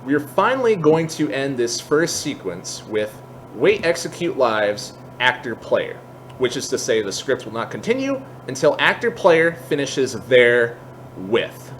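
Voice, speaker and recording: A man with an average-pitched voice hosts a screen-share tutorial. He explains things informally, piece by piece, often thinking aloud. A noticeable bed of background noise sits under the recording. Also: sing-song, measured pace, fairly bright tone. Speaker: tutorial host. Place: indoors at a computer, voice-over for screen capture.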